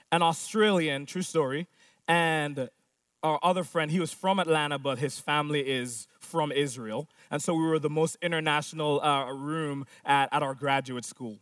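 A man with a high voice preaches a sermon, stressing his points by repeating phrases and firing off rhetorical questions. He is recorded in a dry space, a little off the mic. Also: a bit bright, no room sound, distant mic. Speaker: pastor.

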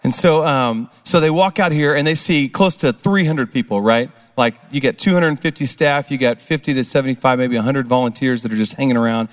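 Hoarse man talking in a reverberant hall. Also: medium pitch, fairly quick, few pauses, a little hiss or hum. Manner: casual, engages the crowd with questions. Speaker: speaker at a recovery ministry service. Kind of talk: conversational testimony talk. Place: large church hall with an audience.

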